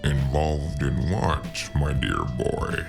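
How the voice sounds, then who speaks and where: An actor playing a genteel, eccentric gentleman spy in a noir audio drama, teasing and pompous, with theatrical, flowery phrasing. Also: extremely low pitch, lively intonation, slow pace, vocal fry, heavily acted. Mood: coyly evasive. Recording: guitar music behind the voice. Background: plucked guitar music.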